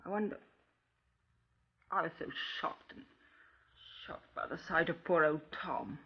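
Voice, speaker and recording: An actress playing an overwrought, imperious widow who confesses to murder, in old radio crime drama style, husky and theatrical, shifting between vague muttering and sharp commands. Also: female voice, deep voice, steady tone, unhurried, full character voice. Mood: vague, shaken. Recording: dull 1950s radio recording.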